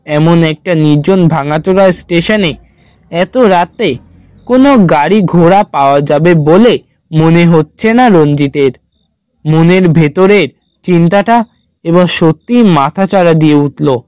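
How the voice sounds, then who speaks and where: A man narrating a scripted horror story in a measured, dramatic storytelling manner. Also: a little high, a little mellow, clear, resonant tone, dry recording.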